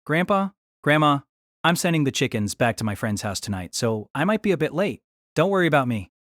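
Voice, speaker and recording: A man's voice: fairly high pitch, big pitch swings, fairly quick, a bit bright, dry recording, clean studio sound. A man playing a nervous, polite young male mage with a hasty, evasive manner in an audio drama. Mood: polite, casual. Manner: hasty, excuse-making. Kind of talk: light novel audio drama character reading.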